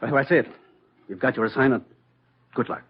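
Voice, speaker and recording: An actor playing an authoritative, dryly amused government commissioner briefing an agent in an old radio drama. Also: male voice, mid-range voice, fairly quick, natural voice. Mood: urgent, grave. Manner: crisp, authoritative, dryly teasing. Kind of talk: 1950s radio drama acting. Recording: dull vintage radio recording.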